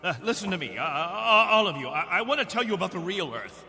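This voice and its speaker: High-voiced, impassioned colony captain. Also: male voice, sing-song, fast talker, pushed and bright, in a large hall, soft background music, exaggerated voice. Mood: desperate, pleading. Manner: impassioned, preaching, emphatic. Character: desperate, controlling male captain of a stranded colony. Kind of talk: radio drama acting.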